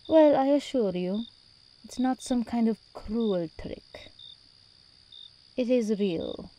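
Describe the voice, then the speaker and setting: A woman's voice: on the high side, animated, slow, dark tone, poor audio. A woman playing an imperious, doting and possessive demon princess in an ASMR roleplay, speaking with regal, teasing endearments. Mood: coaxing, possessive.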